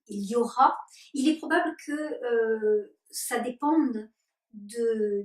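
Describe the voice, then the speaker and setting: A woman leading spiritual workshops, answering interview questions slowly and deliberately with many hesitations. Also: on the high side. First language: French. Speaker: workshop leader. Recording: roomy and distant.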